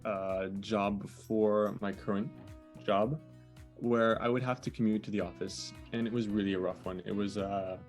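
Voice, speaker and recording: Young male interview guest, low-pitched, halting, on a video call. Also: fairly even intonation, soft background music. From born in the US, raised in France. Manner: hesitant, many fillers and restarts. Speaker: guest, digital nomad. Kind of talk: casual interview chat.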